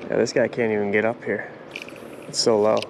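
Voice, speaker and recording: A young man filming himself fishing on a riverbank with a head-mounted camera, chatting casually and getting excited over catches. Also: on the low side, a little fast, noisy background. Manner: excitable, swears when fish strike. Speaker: fishing vlogger. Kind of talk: casual running commentary while fishing. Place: outdoors on a riverbank. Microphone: head-mounted action camera. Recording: music playing under the voice.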